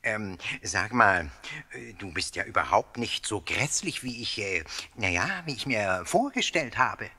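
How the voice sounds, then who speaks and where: An actor playing a cheeky, boastful, excitable little ghost in a children's radio play, huffy and given to stammers and whoops. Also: male voice, on the low side, unhurried, few pauses, slightly rough, exaggerated voice. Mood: flustered.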